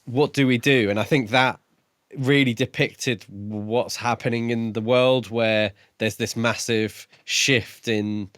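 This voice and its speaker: A man's voice: medium pitch, steady tone, takes it slowly, fairly bright tone, no room sound, clean studio sound. A man co-hosting a podcast, casually discussing books in a thoughtful, agreeable manner. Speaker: podcast co-host.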